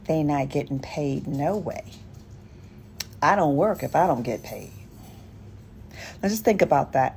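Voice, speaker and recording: A woman's voice: deep voice, mellow. An American woman on a casual political rant, blunt, sardonic and profane, in a noisy clip recording.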